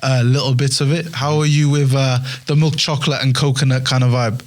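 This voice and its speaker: A man's voice: mid-range voice, fairly even intonation, brisk. A man hosting a casual studio interview, speaking in a hesitant, repetitive way. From Wales. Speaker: radio presenter hosting an interview show. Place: radio studio.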